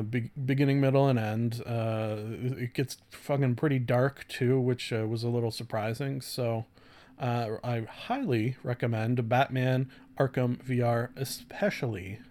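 A man's voice: medium pitch, darkish tone. Man in his thirties, casual rambling podcast host. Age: 30s. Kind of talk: casual solo podcast talk.

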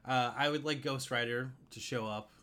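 A man's voice: medium pitch, steady tone, moderate pace, bright, forceful tone, clean tone. A man chatting casually as the co-host of a podcast. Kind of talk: casual chat about comic book films.

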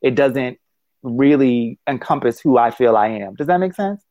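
A man's voice: medium pitch, animated, normal speed, no echo, lo-fi sound. A man talking thoughtfully in a casual conversation over a call, with many restarts and affirmations. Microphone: phone call.

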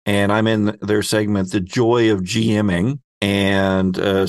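A man's voice: lowish voice, gravelly, dry recording, clean studio sound. A man hosting a tabletop gaming podcast as game master, chatting casually in a jokey, rambling way. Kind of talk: casual podcast intro chat.

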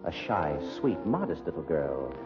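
A man's voice: very deep voice, sing-song, measured pace, heavily acted. A man playing a theatrical, wry announcer narrating an old-time radio play. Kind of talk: 1940s radio scripted narration. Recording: muffled recording, music behind.

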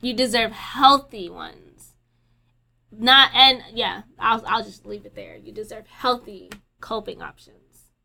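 A woman's voice: on the high side, takes it slowly, vocal fry, bright, forceful tone. A young woman co-hosting a podcast, talking candidly with lots of fillers, swearing and laughter. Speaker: podcast co-host. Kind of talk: casual chat.

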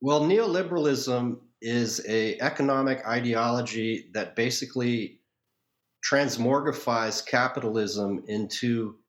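A man's voice: mid-range voice, a little slow. Echoey, wordy American man. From Hawaii, United States. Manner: wordy, erudite, wry. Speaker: podcast guest. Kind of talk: conversational interview, opinionated storytelling.

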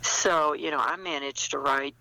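An older woman chatting as an interview guest, reflective and anecdotal, with hesitant pauses. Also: low-pitched, fairly even intonation, sharp tone, low-quality recording. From small-town United States. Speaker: guest, novelist. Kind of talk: casual interview chat.

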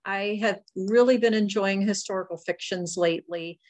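A woman hosting a book podcast, introducing and interviewing a guest with quick, friendly interjections. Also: medium pitch, darkish tone. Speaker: podcast host. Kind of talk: casual interview chat.

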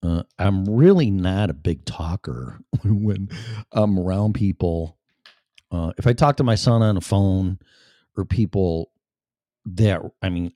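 A man's voice: low voice, darkish tone, no room sound, studio quality. A man with a Chicago accent hosting his live-stream show in a chatty, profane, self-deprecating ramble. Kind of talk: casual rambling monologue.

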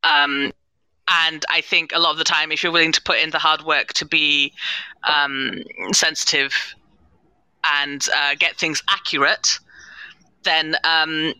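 A woman talks casually on a panel with real enthusiasm. Her voice is very bright and forceful, with a smooth, clear tone. The sound is close and dry. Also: low voice, flat delivery, unhurried, dry recording, rough recording. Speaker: panellist, audio drama producer and sensitivity consultant.

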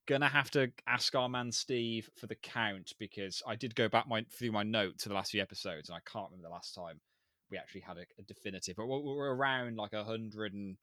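A man's voice: fairly low pitch, fairly quick, sharp tone, no room sound. Well-spoken Birmingham man, dry close sound. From England. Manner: well-enunciated, hesitant with ums. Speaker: podcast co-host. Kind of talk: casual chat.

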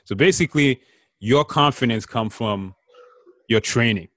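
A man's voice: mid-range voice, a little slow, fairly bright tone, dry recording, studio quality. A man hosting a casual interview, friendly and loose, calling his guest man and bro.

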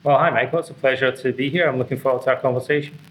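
A man's voice: mid-range voice, fairly even intonation, rapid-fire. A middle-aged Scottish man giving explanatory, academically phrased answers as an interview guest. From Scotland. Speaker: interview guest, author and psychotherapist. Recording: clearly noisy background ambience.

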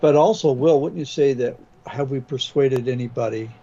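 A man's voice: medium pitch, normal speed, dark tone. A man co-hosts a casual podcast with a hesitant, filler-heavy delivery. His voice sits in a middling range. It comes through a slightly dull mic with faint hiss behind it. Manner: hesitant, many fillers and restarts. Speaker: podcast co-host. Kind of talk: casual chat.

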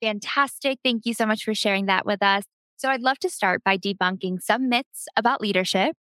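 A woman's voice: medium pitch, normal speed, sharp tone, no room sound. A woman hosting a podcast, polished and upbeat, reading an intro and asking questions. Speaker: podcast host. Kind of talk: scripted podcast intro and interview.

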